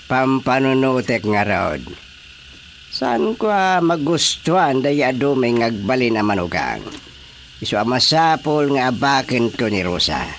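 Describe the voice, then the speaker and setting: A man playing a bossy, sly older village father in an Ilocano radio comedy, with emphatic, drawn-out delivery. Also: mid-range voice, sing-song, a bit bright, a little hiss or hum. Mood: scheming. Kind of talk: Filipino radio drama comedy acting.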